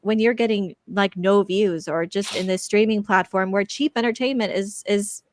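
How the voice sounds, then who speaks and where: A woman's voice: mid-range voice, normal speed, slight background noise. A woman speaking casually as a panelist, phrasing her points tentatively. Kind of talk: casual discussion.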